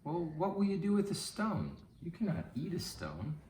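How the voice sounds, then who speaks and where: A man's voice: sits high, huge pitch swings, normal speed, a little mellow, reverberant, slight background noise, voice pushed up. A man voicing a curious, easily amazed little old lady from a storybook. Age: older.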